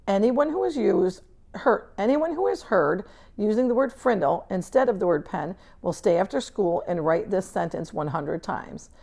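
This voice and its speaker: A woman's voice: mid-range voice, animated, gravelly, warm tone. Woman reading as a stern, formal lady schoolteacher. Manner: measured, precise, formal. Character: stern, formal older female schoolteacher, firm and controlled. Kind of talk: storybook read-aloud character dialogue.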